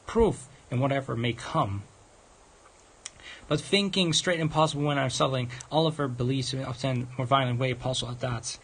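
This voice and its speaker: A man livestreaming a visual novel, reading its text aloud in a halting, stumbling way and adding off-script comments. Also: mid-range voice, a bit bright, breathy edge, low-quality recording. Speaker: game livestreamer. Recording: music behind the voice.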